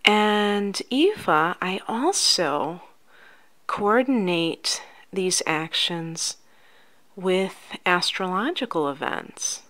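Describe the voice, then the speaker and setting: Soft-spoken woman for ASMR coach roleplay; lowish pitch, very slow, gentle and soothing delivery. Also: lively intonation. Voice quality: soft, often near-whispered. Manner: gentle, unhurried, soothing, addressing the listener directly. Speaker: ASMR host playing a life coach.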